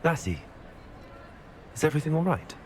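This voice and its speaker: A man playing an earnest, polite young student wizard in a video game, speaking in a measured, formal way. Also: fairly high pitch, sing-song, darkish tone, slight background noise. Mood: earnest, supportive. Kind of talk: scripted video game dialogue.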